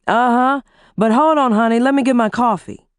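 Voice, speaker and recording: A woman voicing a blunt, quick-tempered husband who scolds and swears. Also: on the high side, dry recording, clean studio sound, voice pushed up, lightly acted. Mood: casual. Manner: gruff and profane, scolding.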